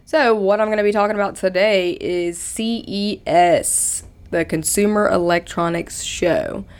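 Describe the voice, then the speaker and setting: A woman's voice: medium pitch, steady tone, measured pace. A woman in her thirties, a radio co-host, telling stories in a chatty, rambling way with fillers. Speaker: radio co-host, trends segment. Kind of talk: casual chat, then segment presenting.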